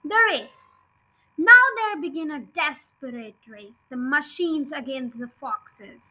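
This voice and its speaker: A woman reading a children's story aloud as host, in an expressive, emphatic way. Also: sits high, slow, low-quality recording. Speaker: storybook reader, podcast host. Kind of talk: expressive children's audiobook narration.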